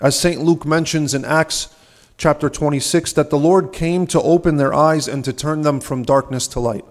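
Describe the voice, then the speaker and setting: A young man, a subdeacon, giving an earnest, measured sermon at a church lectern, asking rhetorical questions. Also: fairly high pitch, fairly even intonation. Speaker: subdeacon preaching. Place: large ornate church, at a lectern. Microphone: lectern microphone.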